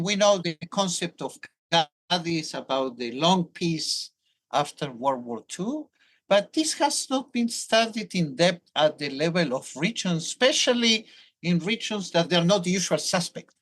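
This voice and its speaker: Brief: older male academic with a Hebrew accent, thoughtful and explanatory, lively intonation. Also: sits high, unhurried. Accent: Hebrew-accented English. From Argentina. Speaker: professor, guest. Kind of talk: academic interview.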